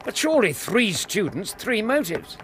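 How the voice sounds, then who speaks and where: An actor playing a loyal, earnest, puzzled English gentleman, the detective's companion in a radio drama, with an English accent and a genial, hesitant manner. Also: sits high, sing-song, normal speed, a little hiss or hum.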